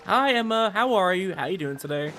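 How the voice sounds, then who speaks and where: High-pitched, animated male game streamer. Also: a little fast. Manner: rambling, excitable, repetitive emphasis. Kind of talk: casual livestream chat about a game. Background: faint music.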